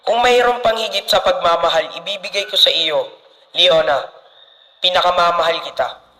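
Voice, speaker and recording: Teenage boy as loving, hardworking Filipino husband for radio play; slightly high-pitched. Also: normal speed, bright, forceful tone, low-quality recording. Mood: adoring. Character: devoted, hardworking, romantic young husband and father. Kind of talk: student radio drama acting in Filipino.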